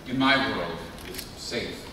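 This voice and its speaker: An actor playing an earnest, dutiful, stalwart hero at a live table read. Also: male voice, on the low side. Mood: earnest, tender. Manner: stalwart, sincere, halting when moved. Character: earnest, square-jawed, dutiful male hero. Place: large convention hall panel stage. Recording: echoey hall from far off, noisy.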